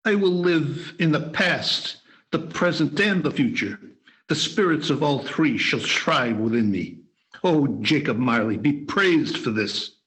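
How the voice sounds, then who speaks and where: Man voicing an old miser, pleading, earnest, very slow delivery, radio play. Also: fairly high pitch, animated, a bit bright, poor audio. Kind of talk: amateur radio play acting. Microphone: video call.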